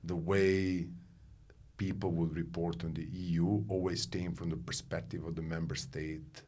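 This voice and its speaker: Male podcast host with a very deep voice, summarizes and prompts the guest. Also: flat delivery, a little slow, darkish tone. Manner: prompting, sums up the guest's points. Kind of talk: interviewer conversation.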